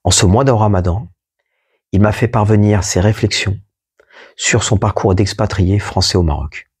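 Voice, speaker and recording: Male host, low husky voice, slow measured poetic reading with asides. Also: a little mellow. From France. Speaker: podcast host. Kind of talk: reading a poetic first-person text aloud.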